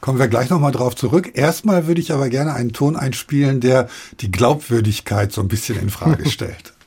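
A male radio host talks conversationally on air. His voice is creaky and rough, at a medium pitch. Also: brisk, a little mellow.

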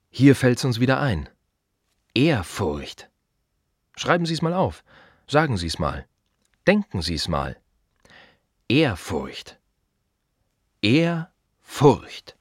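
An actor playing an aging, weary gravedigger who loves silence, musing in short, repeated fragments in a literary radio play monologue. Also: male voice, medium pitch, dry recording, studio quality, full character voice. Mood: insistent, pondering.

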